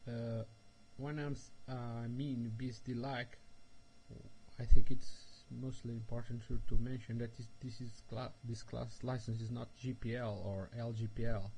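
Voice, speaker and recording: A man, a developer giving a recorded technical presentation in slow, careful speech with frequent hesitations. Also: medium pitch, mellow, slight background noise, low-quality recording. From Portugal. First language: Portuguese. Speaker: software developer presenting a tutorial.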